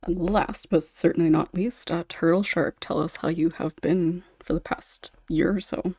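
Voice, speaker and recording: Low-pitched woman hosting, dry close sound. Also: steady tone, normal speed, dark tone, slightly rough, dry recording. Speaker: podcast host and writer. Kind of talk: casual hosting of a community podcast.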